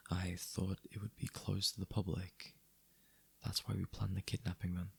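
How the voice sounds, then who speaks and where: Man acting a very gravelly, husky mafia boss. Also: low voice, no room sound, exaggerated voice. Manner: dry, conversational, sardonic, addressing listener as doll. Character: apologetic, wry mafia boss, gentlemanly and exasperated. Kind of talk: ASMR audio roleplay, intimate scripted acting.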